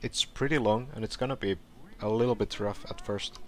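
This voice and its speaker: A man casually talking through a game walkthrough, relaxed and rambling with fillers, his voice going hoarse. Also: fairly low pitch, a little fast, slight background noise. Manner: relaxed, rambling, with frequent fillers and self-corrections. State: hoarse, clearing his throat. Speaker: gameplay tutorial streamer. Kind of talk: casual gameplay walkthrough commentary.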